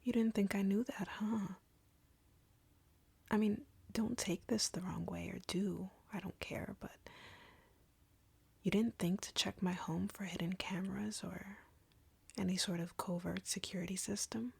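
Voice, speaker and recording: Woman voicing a breathy, whispering, arrogant vampire woman. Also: mid-range voice, fairly even intonation, no room sound, full character voice. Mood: smug, condescending. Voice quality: breathy, husky near-whisper with creak. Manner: slow, taunting, condescending, teasing. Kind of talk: scripted roleplay audio, intimate ASMR-like delivery. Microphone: close, dry microphone.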